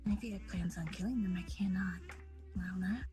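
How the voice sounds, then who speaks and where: A woman's voice: mid-range voice, brisk, music in the background, lightly acted. Woman playing a solemn, protective lady leader. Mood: protective, hushed. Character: grave, protective lady leader of the moon people. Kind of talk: improvised online roleplay.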